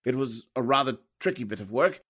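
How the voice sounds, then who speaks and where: Radio mystery: actor as diffident, genteel man, medium pitch, politely coy. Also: animated, fairly quick, a bit bright, breathy edge. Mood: coy, hesitant. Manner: mild, polite, apologetic. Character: diffident, well-mannered gentleman, coyly informative. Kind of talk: 1940s radio drama acting.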